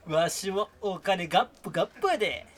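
A man playing a carefree, slow-witted, lecherous university student in an amateur radio drama. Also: sits high, lively intonation, a bit bright, slight background noise. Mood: casual.

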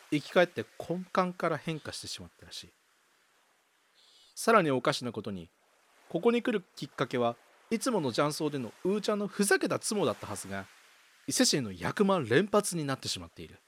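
A man's voice: a little high, no echo. A man playing a bewildered, hesitant young man in an amateur radio drama. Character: bewildered young man lost in a parallel world. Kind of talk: amateur radio drama dialogue and inner narration.

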